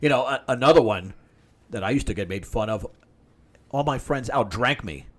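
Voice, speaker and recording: Man, fifties, average pitch, chatty storyteller, podcast guest. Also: expressive, normal speed, fairly bright tone. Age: 50s. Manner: long-winded anecdotes, talking over others. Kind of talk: casual storytelling chat.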